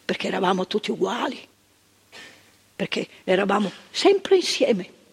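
An older actress, playing a retired psychiatric worker in a stage monologue, talking emphatically with repetitions and asides to the listener. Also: female voice, mid-range voice, sing-song, moderate pace, gravelly, slightly rough, full character voice. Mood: reflective. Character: retired female psychiatric worker, proud, impassioned, reflective. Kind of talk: theatre monologue. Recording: stage recording with long reverb.

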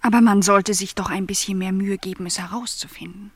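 An actress playing an earnest, lonely, vulnerable young housemaid in a literary radio drama, breathy and softly insistent as she questions. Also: medium pitch, fairly even intonation, brisk, dry recording. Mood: earnest, probing. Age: young adult. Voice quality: breathy, often near a whisper.

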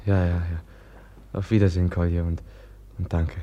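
An actor playing a gentle, earnest young prince in a 1950s radio play, speaking mildly. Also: male voice, sits low, flat delivery, normal speed, dull audio, lightly acted.

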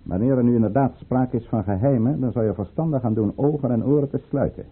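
An actor playing a commanding, guarded count and governor in an old-fashioned radio drama, with a formal, deliberate, urbane delivery. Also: male voice, mid-range voice, breathy edge. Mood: coolly guarded. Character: commanding, guarded male count and governor, coolly courteous schemer. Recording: muffled, phone-like old radio recording.

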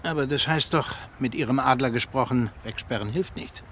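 An actor playing a haughty, hostile old field marshal, curt and contemptuous, in a German radio drama. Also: male voice, medium pitch, moderate pace, fairly bright tone, a little hiss or hum, close to an everyday voice.

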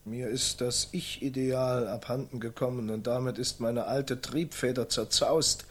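An actor playing a decrepit, muddled medieval knight in a radio play, rambling querulously and nostalgically. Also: male voice, medium pitch, steady tone, a little slow, breathy edge. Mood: gloomy, lamenting. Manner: rambling, querulous, self-echoing. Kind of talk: German radio play acting.

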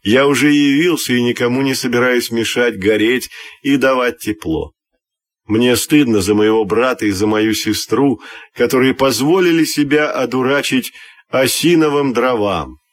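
A man's voice: medium pitch, normal speed, close to an everyday voice. A man voicing a cheerful, proud invisible spirit of natural gas in a children's fairy tale, declaiming reproachfully.

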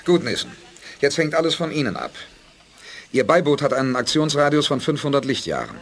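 An actor playing a self-assured male spaceship commander in a 1980s audio drama, brisk and authoritative. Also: a little high, sing-song. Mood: confident, commanding.

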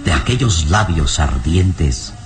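A man narrating a Spanish-language radio drama in a deliberate, theatrical scripted style. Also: a bass voice, animated, over music.

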